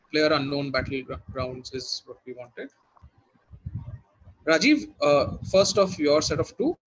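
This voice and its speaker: A man's voice: fairly high pitch, a bit bright, slightly rough, low-quality recording. A man working as quiz master, reading formally worded questions to contestants and giving brief verdicts.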